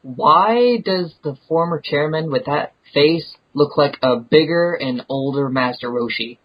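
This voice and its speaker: A male podcast co-host who chats casually in a hesitant, joking way. His voice is slightly husky, and the recording is muffled. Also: a little high, unhurried. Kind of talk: casual chat.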